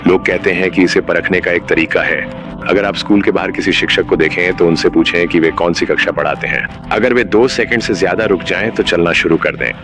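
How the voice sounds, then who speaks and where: A man playing a grave, menacing emergency announcer in a scripted horror broadcast, speaking in a measured way. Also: sits low, over music, natural voice. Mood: grave, ominous. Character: ominous male emergency broadcast announcer warning of uncanny teachers. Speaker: narrator.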